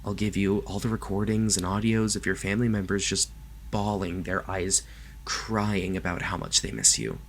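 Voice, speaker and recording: A man playing a lovestruck, possessive kidnapper in an ASMR roleplay, speaking softly and haltingly to the listener, sometimes close to a whisper. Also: deep voice, creaky, slight background noise. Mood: earnest, insistent. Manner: soft, gentle, halting, sometimes dropping to a whisper. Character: lovestruck male yandere kidnapper, doting, possessive, awkwardly reassuring.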